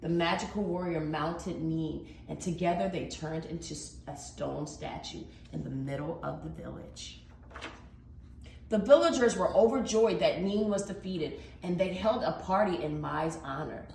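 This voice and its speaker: A woman's voice: on the low side, creaky, darkish tone. A woman reading a children's storybook aloud as a teacher, with dramatic, emphatic expression. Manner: dramatic, with emphatic drawn-out words. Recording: roomy echo, faint background noise.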